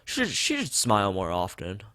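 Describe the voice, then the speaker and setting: A man's voice: lowish voice, wildly swooping pitch, brisk, sharp tone. A man reading a visual novel aloud as a let's play host, stumbling over words and rereading them, with his own side comments.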